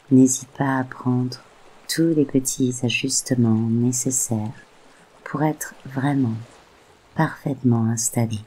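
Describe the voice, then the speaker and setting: A soft, breathy voice guiding a meditation in a soothing, unhurried way with gently spaced phrases. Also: medium pitch, low-quality recording. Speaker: guided meditation host. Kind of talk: slow soothing guided meditation narration.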